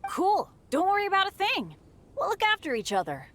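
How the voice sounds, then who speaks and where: A woman's voice: very high-pitched, huge pitch swings, a little fast, heavy background noise, exaggerated voice. A woman voicing an earnest, upbeat, good-natured teenage adventurer boy in a video game.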